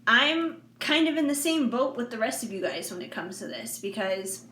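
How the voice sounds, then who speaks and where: A forty-year-old woman with a bright, fairly high voice speaks candidly to her viewers, rambling along with plenty of fillers. The sound is roomy and echoey, with a faint hiss underneath. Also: very animated, normal speed. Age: 40s. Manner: candid, rambling, frequent fillers and soft laughs. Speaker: intuitive reader and vlogger. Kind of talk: casual heartfelt monologue to viewers. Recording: echoey room with faint background noise.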